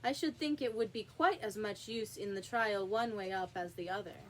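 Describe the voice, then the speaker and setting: A woman's voice: mid-range voice, moderate pace, slight background noise, natural voice, low-quality recording. A woman voicing a polite but contrary little girl in a storybook reading, plain-spoken and indignant. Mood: musing.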